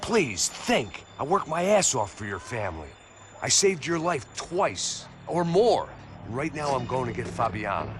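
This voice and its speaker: An actor playing a washed-up middle-aged American ex-cop, narrating in a weary, self-deprecating, hard-boiled noir manner. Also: male voice, medium pitch, big pitch swings, a little slow. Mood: earnest, pleading. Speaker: protagonist and narrator. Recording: loud music behind the voice.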